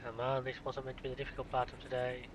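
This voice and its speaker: A man with a cold and a blocked nose talks casually over a farming game, muttering and mocking himself as things go wrong. His voice sits at an ordinary pitch for a man. Vehicle rumble from the game runs clearly underneath. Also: monotone, fast. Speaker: gaming YouTuber. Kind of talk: casual gameplay commentary. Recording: game sounds and vehicle noise behind. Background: farming game vehicle noise.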